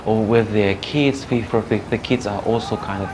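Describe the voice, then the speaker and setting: A man from Russia, an English teacher talking earnestly in an interview, pausing often as he thinks. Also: fairly low pitch. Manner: earnest, chatty, with many pauses. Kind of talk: documentary interview talk. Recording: background music and ambient noise.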